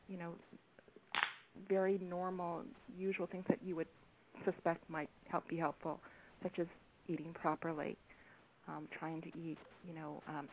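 A soft-spoken woman, an author, being interviewed over the phone, speaking hesitantly with many pauses and ums. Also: on the low side. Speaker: author and guest. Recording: tinny phone line with faint hiss.

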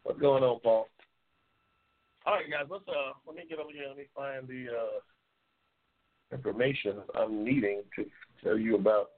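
A man's voice: mid-range voice, normal speed, pauses often. A man in his seventies hosting a casual live stream, joking and trash-talking with viewers as he reads their comments. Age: 70s. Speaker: host. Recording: tinny phone-like lo-fi sound.

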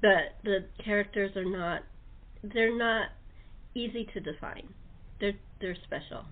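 A woman's voice: medium pitch, measured pace. A woman hosting a casual radio chat, rambling and often restarting her sentences as she goes. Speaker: radio show host. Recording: muffled narrow-band call-in audio.

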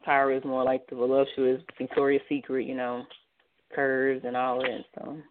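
A woman in her twenties from Texas, answering interview questions over the phone and pausing often on ums. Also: a bass voice, completely flat delivery, slight background noise. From Dallas, Texas. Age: 20s. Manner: hesitant, many ums, soft-spoken. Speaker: guest model. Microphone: phone line. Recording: tinny phone line.

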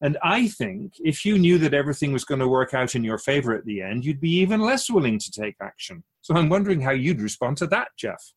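Otherworldly Keeper male actor, radio drama: husky, expressive, philosophical mentor to humans, measured and probing. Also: on the high side, exaggerated voice. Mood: earnest, probing. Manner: measured philosophical explaining with rhetorical questions. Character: otherworldly Keeper of souls, wise, patient, gently challenging teacher.

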